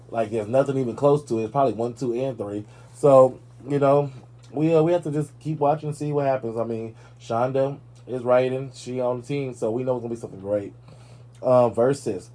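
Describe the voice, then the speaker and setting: A man hosting a live talk show, chatting casually and sassily about celebrity gossip, with playful asides. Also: medium pitch. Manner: sassy, animated, playful asides.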